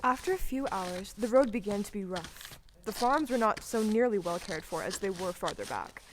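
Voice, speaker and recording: A woman narrating a storybook tale in a scripted, measured way for an audio play performed on stage. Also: medium pitch, animated, slight background noise, lightly acted, poor audio. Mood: storytelling.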